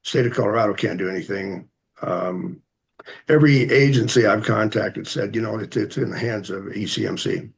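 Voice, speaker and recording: An American man giving halting, plain-spoken public comment at a hearing, speaking earnestly and hesitantly. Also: lowish voice, gravelly, husky. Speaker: member of the public addressing a commission.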